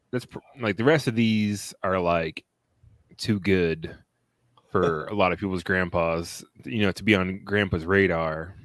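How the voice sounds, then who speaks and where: A man hosts a casual film podcast in an unhurried, drawn-out way. His voice sits low and he rambles, laughing often. The sound is close and dry, with no room around it. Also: a bit bright, no room sound. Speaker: podcast host.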